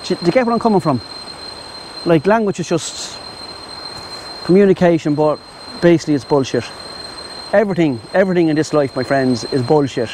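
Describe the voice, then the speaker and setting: A man's voice: fairly high pitch, fairly quick, darkish tone, a little hiss or hum, poor audio. An Irish man rambling casually to camera as a travel vlogger, outdoors on a lavalier mic. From Ireland. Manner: rambling, chatty, addresses viewers as "my friends". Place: outdoors in Thai countryside.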